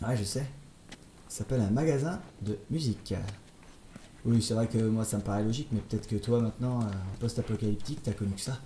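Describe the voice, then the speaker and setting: A man's voice: on the low side, fast talker, slight background noise. A man casually commenting on his gameplay, chatty and playful, with jokey asides to his viewers. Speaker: let's play host. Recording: roomy sound with loud game music.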